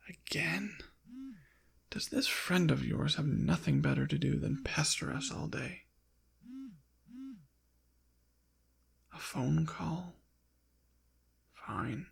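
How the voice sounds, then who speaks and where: A man voicing a haughty, possessive ancient demon in an intimate ASMR roleplay, with formal, deliberate diction and a breathy, gravelly whisper. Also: on the high side, heavily acted. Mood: annoyed. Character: possessive ancient male demon, haughty, teasing, quietly softening. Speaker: audio roleplay performer.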